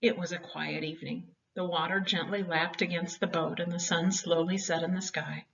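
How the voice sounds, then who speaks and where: A woman's voice: lowish voice, steady tone, moderate pace, creaky, a bit bright. A woman reading a children's Bible story expressively, with dramatic, emphatic phrasing meant for young listeners. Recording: dull, distant home-style recording.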